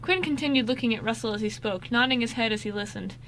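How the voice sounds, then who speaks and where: A woman with a bright, forward voice narrates an amateur radio play. She sits fairly high in pitch, with faint noise behind her. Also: quick pace, rough recording.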